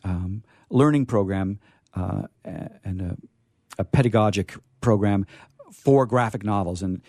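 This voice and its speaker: Low-pitched man, halting and playfully formal. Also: a little mellow, dry recording, clean studio sound. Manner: hesitant, repeats phrases, playfully formal. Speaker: library staffer hosting a discussion. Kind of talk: informal live talk to an audience.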